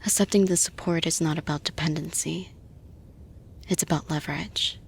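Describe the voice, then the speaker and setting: A woman playing a caring, persuasive boss in an intimate audio roleplay, soft-spoken and breathy, often dropping to a whisper, deliberate and reassuring. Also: fairly low pitch, heavily acted. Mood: gently persuasive. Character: caring, persuasive female boss offering support to employee.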